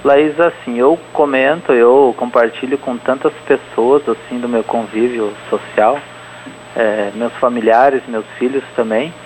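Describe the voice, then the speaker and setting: A man's voice: mid-range voice, a little hiss or hum, rough recording. A man in his forties, a guest on a radio interview, speaking with frequent fillers and restarts. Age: 40s. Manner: hesitant, many fillers and restarts. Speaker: interview guest, event organizer.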